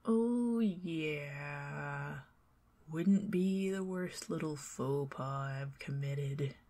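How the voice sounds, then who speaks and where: A woman with a Southern American accent doing an ASMR roleplay as a chatty, teasing neighbor who talks to the listener and drifts into soft whispers. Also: deep voice, extremely slow. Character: friendly talkative young woman neighbor.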